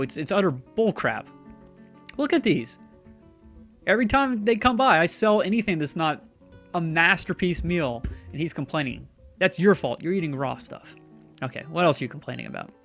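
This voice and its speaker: Gravelly-voiced man rambling over a game. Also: a little high, a bit bright. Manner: chatty, sarcastic, talks back to the game's characters. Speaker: streamer playing a game. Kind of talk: rambling live-stream commentary.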